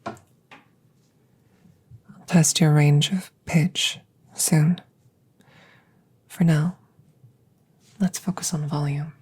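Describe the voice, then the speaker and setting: Lady playing an alien scientist girl for asmr, low soft whispery voice, really slow, calm and clinical, formal wording. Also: slightly rough, heavily acted. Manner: precise, formal, detached, unhurried. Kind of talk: ASMR audio roleplay, close soft whispering. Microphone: close microphone.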